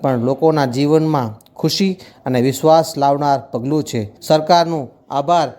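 A man's voice: a little high, moderate pace, mellow. A man giving a scripted, formal customer testimonial.

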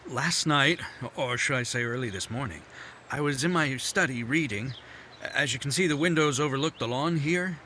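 An actor playing a nervous, scheming newspaperman in a 1950s-style radio mystery, smooth on the surface but stumbling over his words. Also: mid-range voice, moderate pace, bright, forceful tone, slight background noise, natural voice. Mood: guarded. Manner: smooth but hesitant, stammering "I, I". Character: nervous, scheming middle-aged male newspaperman. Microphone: headset.

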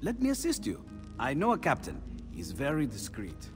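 Eccentric middle-aged scholar, courteous, expressive lively pitch, game cutscene, music behind. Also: male voice, moderate pace. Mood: warm, eager. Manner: courteous, expressive, self-deprecating. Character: eccentric aging Renaissance inventor and painter, excitable scholar. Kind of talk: dramatic video game cutscene acting.

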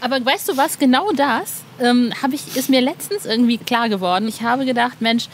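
Chatty woman podcast host, creaky voice. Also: on the high side, moderate pace, a little hiss or hum. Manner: informal, chatty fan-like questioning. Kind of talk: casual conversational interview.

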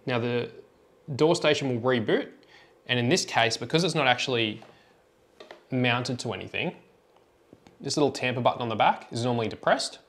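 A man with a mid-pitched voice presents a hands-on tutorial. He explains each step informally, in a plain and instructional manner. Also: moderate pace, pauses often, fairly bright tone. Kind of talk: informal step-by-step product demonstration.

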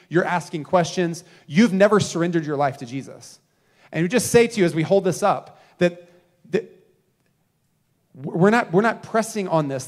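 Earnest man preaching into handheld mic. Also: fairly high pitch. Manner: earnest, swings from emphatic to hushed and slow. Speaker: pastor. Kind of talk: sermon. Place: church stage, indoors.

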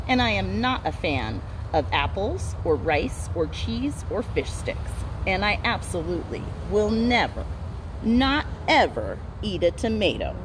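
A woman voicing a small, fussy little girl in a storybook, with emphatic, drawn-out delivery. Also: on the low side. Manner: emphatic, declarative, drawn-out refusals. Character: small, fussy, stubborn little girl, funny picky eater. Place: outdoors in a garden. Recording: outdoor ambient noise.

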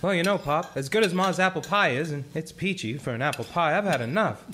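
A man voicing an eager, restless young man who talks quickly and breezily, in a community radio play. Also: fairly high pitch, animated, background noise, close to an everyday voice.

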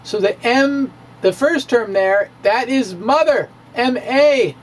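A middle-aged man giving a dramatic, emphatic physics lecture to camera in a halting, theatrical delivery. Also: very high voice, slight background noise, rough recording. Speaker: science video blogger. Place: indoor room with bookshelves. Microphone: handheld-style microphone on a stand, close in front.

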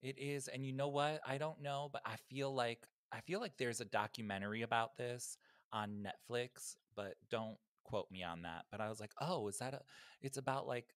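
A man's voice: mid-range voice, moderate pace, no echo. A man co-hosting a podcast in casual, gossipy chat, with campy emphatic repetition, on a close microphone. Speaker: podcast co-host. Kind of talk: casual chat about pop music news. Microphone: close podcast microphone, video call.

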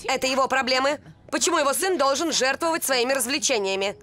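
A woman's voice: sits high, quick pace, a bit bright. An actress voicing a scheming, dismissive little female devil in a dubbed cartoon. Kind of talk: animated series dubbing.

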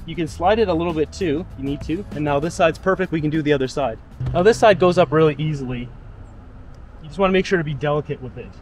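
Young man, slightly high voice, casual how-to talk, outdoors, handheld camera, faint music. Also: quick pace. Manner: relaxed, explanatory, with fillers. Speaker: vlogger. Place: outdoors beside a parked car on a residential street. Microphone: action camera held at arm's length.

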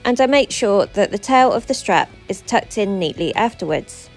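A woman in her thirties, a truck driver, narrating her work in a steady voice-over, explaining each step. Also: medium pitch, normal speed, soft background music.

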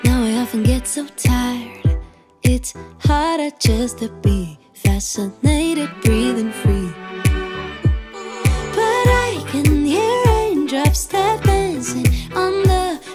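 A woman's voice: high voice, animated, takes it slowly. A woman singing a light, airy pop song. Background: loud backing music.

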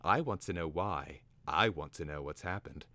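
A man's voice: very deep voice, moderate pace, pushed and bright, dry recording. A man voicing an earnest, stubborn young lad in a storybook, speaking in an insistent, plain way.

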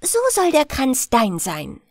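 Wary smart little kid in a fairy tale, voiced by a woman, highish, stubborn, dry sound. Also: sing-song, slow pace, no echo, full character voice. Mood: resolute. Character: small, wary, quick-witted child of a poor family.

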